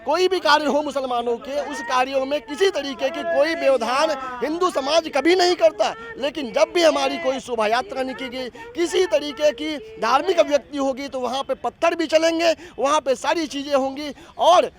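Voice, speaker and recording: A man protester giving an insistent street interview outdoors, arguing through rhetorical questions with halting restarts. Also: very high voice, a crowd in the background. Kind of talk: vox-pop political argument.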